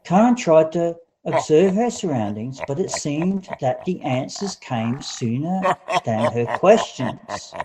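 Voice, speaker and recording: A man's voice: a little high, sing-song, takes it slowly, noisy background. A man narrating a fairy-tale audiobook in a dramatic, scripted storytelling style. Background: music.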